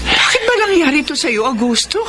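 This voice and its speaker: A woman's voice: sits high, sing-song, fast talker, very noisy. An actress playing a caring middle-aged Filipino woman who nurses her lover in a Tagalog radio drama. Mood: sorrowful.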